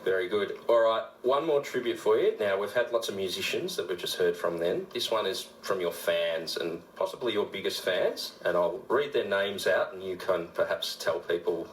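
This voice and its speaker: A man's voice: low-pitched, moderate pace, hardly any pauses, roomy sound, slight background noise, low-quality recording. A man with an Australian accent, a radio presenter chatting casually on air as co-host.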